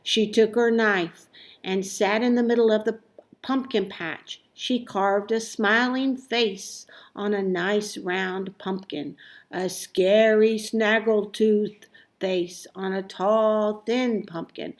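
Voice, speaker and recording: A woman reading a children's story aloud expressively, with deliberate, emphatic phrasing and dramatic pauses. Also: mid-range voice, fairly even intonation. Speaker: storybook reader.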